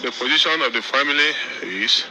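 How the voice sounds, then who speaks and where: A man speaking as the victim's uncle, giving a halting statement to reporters with many restarts. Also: medium pitch, background noise.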